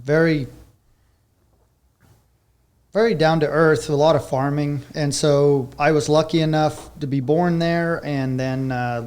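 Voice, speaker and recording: A man's voice: a little high, darkish tone. A man in his thirties from South Africa, with a South African accent and Afrikaans as his first language. He is a podcast guest telling his life story in an easygoing, rambling way. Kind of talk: casual interview storytelling.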